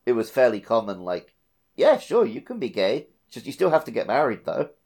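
A man's voice: mid-range voice, wildly swooping pitch, normal speed, darkish tone, slightly rough. A man co-hosting a casual video game podcast, sometimes repeating words as he thinks aloud. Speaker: podcast co-host.

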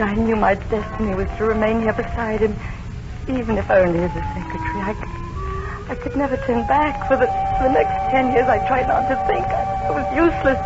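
A high-voiced, lovelorn young secretary acted by a woman pours out her story in earnest old radio-drama fashion. She is emotional and turns halting when flustered. The recording is muffled, with music underneath. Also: close to an everyday voice. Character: devoted, lovelorn young secretary recounting her lost love. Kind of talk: 1940s radio drama acting with first-person narration.

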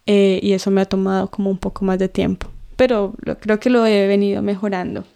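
A Colombian woman in her thirties speaks in a relaxed podcast conversation. Her voice is smooth and resonant with a gravelly edge, and her pitch stays fairly level. She pauses on fillers and laughs softly as she thinks aloud. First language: Spanish. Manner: thoughtful, with frequent fillers and soft laughs. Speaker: co-host. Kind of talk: casual podcast chat.

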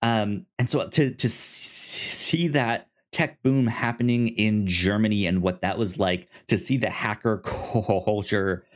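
Guy on a podcast talking thru a review, kinda low voice, slow, stammers and restarts a lot. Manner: halting, stammering, repeats words. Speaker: podcast co-host. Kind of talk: casual review chat.

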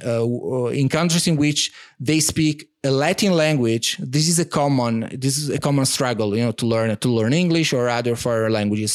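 An Italian-accented man in his thirties, his voice sitting slightly high for a man. He talks as a podcast guest, earnest and halting, full of fillers and restarts. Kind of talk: conversational interview answers.

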